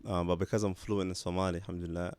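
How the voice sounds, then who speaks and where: A man in his thirties from Canada, a podcast guest telling his story in a relaxed, hesitant way, restarting phrases and adding Arabic religious expressions. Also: sits low, very fast, clean tone, dry recording. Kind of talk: casual conversational interview.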